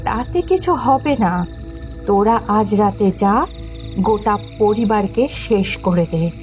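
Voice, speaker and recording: A woman's voice: mid-range voice, animated, slow, darkish tone, soft background music. A woman acting a vengeful, ruthless occultist in a horror audio drama, issuing commands with menace. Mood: menacing, commanding.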